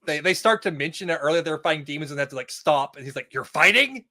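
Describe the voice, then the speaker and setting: Bright, higher-voiced man chatting. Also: animated, fast. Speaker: podcast guest. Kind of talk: casual chat, TV episode discussion.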